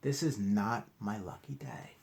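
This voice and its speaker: An eager little storybook pet who is wary of tricks, acted out by a man. He sounds excitable and insistent, his pitch jumping about in an animated way. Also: unhurried, vocal fry, heavily acted.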